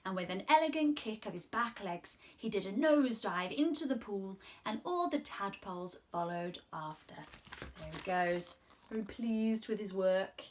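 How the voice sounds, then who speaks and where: A woman in her twenties reading a story to children in an expressive, encouraging way. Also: medium pitch, moderate pace. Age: 20s. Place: small room at home, indoors.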